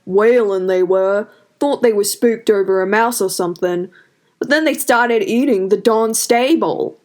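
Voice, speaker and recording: A woman's voice: medium pitch, unhurried, a little mellow, lightly acted. Folksy male goatherd, grumbling and plain-spoken, played by a woman storyteller, rustic fantasy villager. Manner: folksy, complaining.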